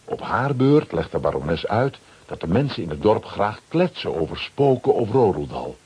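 A man narrating a children's radio play as a scripted storyteller, with a very husky voice. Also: on the low side, very animated, moderate pace, a little mellow, exaggerated voice.

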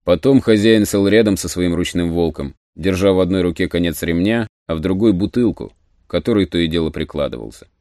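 A man narrating an adventure audiobook in a measured, literary storytelling manner. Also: low voice, lively intonation, darkish tone, no echo, clean studio sound.

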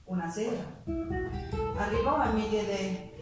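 A woman's voice: mid-range voice, measured pace, music in the background, lightly acted. An actress playing an earthy, passionate Sicilian working-class woman who recalls her hard youth in a dramatic theatrical monologue, with a Sicilian accent. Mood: wistful, excited. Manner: dramatic, expressive, shifting from hushed to loud. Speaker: stage actress in a one-woman show. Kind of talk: theatrical monologue storytelling with bursts of song. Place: live theatre stage. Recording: echoey theatre from far off, faint noise.

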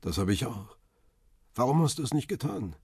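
An actor playing a lonely, melancholy middle-aged photographer who narrates a radio drama in a measured, reflective way. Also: male voice, mid-range voice, very animated, darkish tone, slightly rough, dry recording, clean studio sound, heavily acted. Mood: awkward, tentative. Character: world-weary male photographer, lonely widower, melancholy. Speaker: first-person narrator and lead.

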